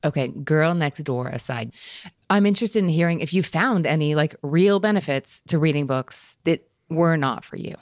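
A woman with a low voice hosts a relaxed book interview in a very dry recording. She is inquisitive and reflective, posing questions and musing in a conversational way. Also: moderate pace, no room sound. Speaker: podcast host, interviewer.

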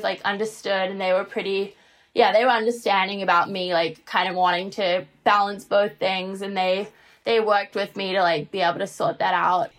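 A young woman, answering interview questions casually with many fillers. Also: medium pitch. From New Zealand. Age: 20s. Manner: relaxed, many fillers and likes. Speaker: college tennis player guest.

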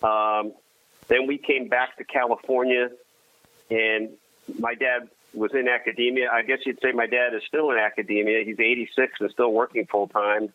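A man's voice: mid-range voice, level tone, no echo. A man whose first language was Armenian, talking with many restarts and wry humor. His voice comes through a narrow, tinny phone-like line, and the sound is close and dry with no room around it. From United States. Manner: halting, many restarts, self-deprecating humor. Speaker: guest. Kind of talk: casual conversation.

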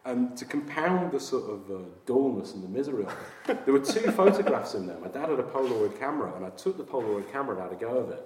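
A man's voice: mid-range voice, expressive, fast, hardly any pauses. A man in his forties from Yorkshire, chatting as a guest with dry, self-mocking humour and frequent laughs. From Yorkshire, England. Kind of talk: casual chat. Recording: echoey room, mic at a distance, faint background noise.

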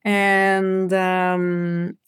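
A woman with a fairly low voice speaks casually in an interview. She rambles a little and feels her way through her thoughts, recorded dry without room sound. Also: monotone, extremely slow, smooth, no room sound. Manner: hesitant, rambling, thinking aloud. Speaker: sound designer, podcast guest.

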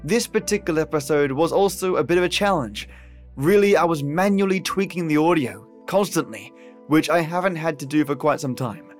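A man's voice: on the high side, lively intonation, a little fast. Chummy male podcast host over music. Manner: chummy, effusive, colloquial. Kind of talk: scripted friendly podcast outro.

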